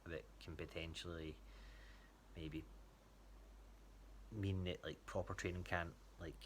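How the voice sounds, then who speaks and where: Low-pitched Scottish man, casual update. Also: fairly even intonation, takes it slowly, a little hiss or hum. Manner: hesitant, rambling. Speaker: athlete giving a training check-in to a coach.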